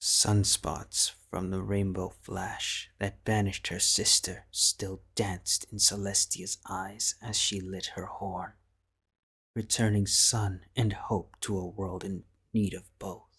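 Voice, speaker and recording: Deep gravelly guy narrating a story, real slow, music loud behind. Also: little pitch movement. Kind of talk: audiobook narration of fan fiction.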